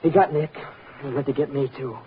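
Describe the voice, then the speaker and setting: An actor playing a frightened, exhausted young fugitive in old-time radio drama, speaking in a halting, pleading way. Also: male voice, mid-range voice, steady tone, lightly acted. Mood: weary, defensive. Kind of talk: 1940s-50s radio drama acting. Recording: noisy, tinny old-time radio recording.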